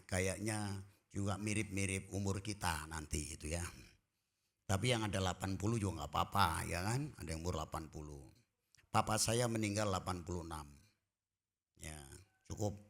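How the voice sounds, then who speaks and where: Bright-voiced preaching man, booming peaks. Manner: swings from quiet asides to loud shouted emphasis; folksy, jokes with the congregation. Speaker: pastor. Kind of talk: sermon. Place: church.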